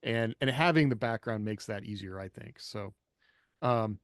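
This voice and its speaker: A man's voice: on the low side, normal speed, no room sound, clean studio sound. A man co-hosting a casual podcast discussion, rambling loosely and chuckling as he goes. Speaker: podcast co-host and writer.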